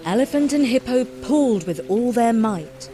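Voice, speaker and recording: A woman narrating a scripted children's story in an expressive, dramatic storytelling style. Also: a little high, moderate pace, over music.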